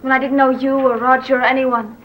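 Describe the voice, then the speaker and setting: A hesitant, earnest young bride in an old television soap. Her voice is fairly high. There is faint noise on the aged soundtrack. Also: little pitch movement, fairly quick, a bit bright, poor audio. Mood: wistful, grateful. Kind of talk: 1960s television soap acting.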